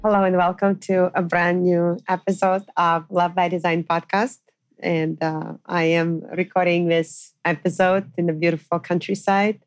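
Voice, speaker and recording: A middle-aged woman with a Russian accent, hosting a podcast as a dating coach in casual, reflective talk, pausing often with fillers. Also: on the low side, level tone, no room sound. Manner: reflective, pauses with frequent fillers. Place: quiet farmhouse in the countryside.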